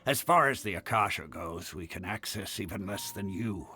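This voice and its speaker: An actor playing a frank, hearty older retired mercenary advisor in a video game, plain-spoken. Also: male voice, deep voice, lively intonation, normal speed, sharp tone, faint music behind. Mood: frank, explanatory.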